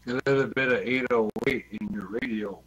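A man's voice: mid-range voice, steady tone, fairly quick, a bit bright, husky, dull audio. A man, easygoing and repetitive, chatting casually in an online voice chat. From Ontario, Canada. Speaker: CB radio hobbyist.

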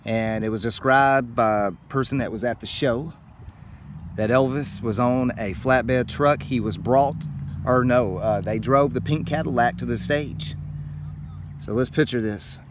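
A man's voice: medium pitch, a little slow. A middle-aged man hosting a travel vlog on location, speaking enthusiastically and directly to his viewers. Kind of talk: on-location narration to camera about music history. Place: outdoors at a small-town baseball field. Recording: outdoor ambient noise, passing vehicles.

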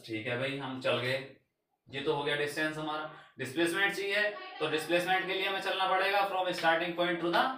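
A man's voice: high voice, pushed and bright, slight background noise. A young male physics teacher at a whiteboard, asking his class questions and answering them himself in a mix of Hindi and English. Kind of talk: whiteboard lecture. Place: small room at a whiteboard. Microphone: camera a few meters away. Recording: very echoey room, voice from far off.

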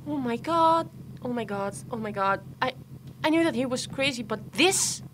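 A woman acting an expressive, wary and outspoken young woman in radio play dialogue. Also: on the high side, normal speed, a little hiss or hum, natural voice. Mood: shocked, upset.

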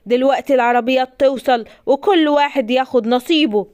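High-voiced Egyptian woman voicing cartoons. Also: brisk, a little mellow, smooth, dry recording, muffled recording. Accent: Egyptian Arabic. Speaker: voice actor for all characters. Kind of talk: children's cartoon voice acting. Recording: music bed under the voice. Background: music.

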